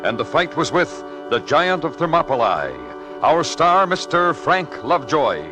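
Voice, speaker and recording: Dramatic radio announcer over music. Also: male voice, a little high, very animated, measured pace. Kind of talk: dramatic 1950s radio introduction.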